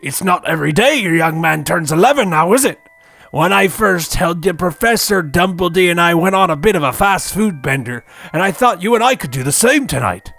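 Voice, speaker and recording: A man acts out a big, crude, kindly half-giant groundskeeper from a comedy parody. He speaks a hearty, rustic British dialect with a gravelly edge. His delivery swings freely in pitch. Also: lively intonation, a little fast, fairly bright tone, exaggerated voice.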